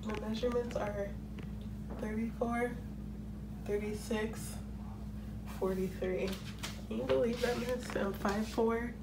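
A woman's voice: fairly high pitch, fairly even intonation, slow pace, pauses often, noisy background. A young woman chatting casually into her phone camera for a vlog, a little congested, rambling and joking about herself. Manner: chatty, rambling, self-mocking. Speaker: vlogger. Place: small room indoors. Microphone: phone camera at arm's length.

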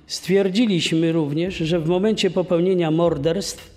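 A man playing a psychiatric expert, giving a formal, measured report in a true-crime dramatisation. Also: sits high, fairly even intonation, a little mellow. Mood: formal, clinical. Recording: long reverberant echo.

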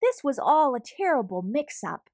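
A woman's voice: high-pitched, sing-song, normal speed, a little mellow, no room sound, studio quality. A woman voicing an earnest storybook hedgehog, uncertain, curious and insistent.

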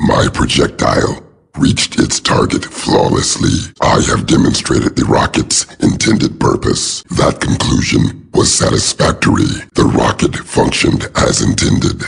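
A man's voice: rumbling low, unhurried, vocal fry, slightly rough, full character voice. A man voicing a cold, calculating villain robot in a video game, clipped and emotionless through a robotic filter. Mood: cold, detached.

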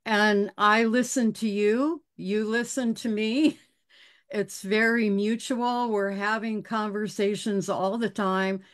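A woman who is a dog behavior specialist, speaking in a panel discussion over a video call, slow and thoughtful with hesitant restarts. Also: medium pitch, fairly even intonation.

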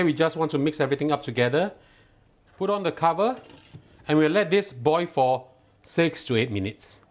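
Singaporean male cooking host, somewhat high voice, patient step-by-step instruction, keen on the food. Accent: Singaporean English. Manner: instructive, step-by-step, lightly enthusiastic. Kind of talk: cooking tutorial voice-over. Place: home kitchen.

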